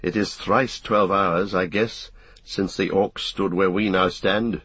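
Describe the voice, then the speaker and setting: Man playing a low, weary, resolute ranger. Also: steady tone, fairly bright tone, slightly rough, dry recording, exaggerated voice. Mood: grave assessment. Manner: brooding, measured, archaic phrasing. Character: weary, grave male ranger leader, resolute and watchful.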